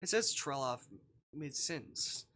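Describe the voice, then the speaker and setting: Animated, bright-voiced man livestreaming. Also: on the high side, takes it slowly. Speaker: livestreamer playing a visual novel. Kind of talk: casual gaming stream, reading game text aloud with offhand commentary.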